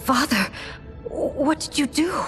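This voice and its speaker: A young princess in mourning. Her voice is high and she speaks slowly in dramatic game-cutscene style, with soft music behind. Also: expressive, full character voice. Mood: grieving. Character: grieving young princess daughter becoming empress. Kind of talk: dramatic video game acting.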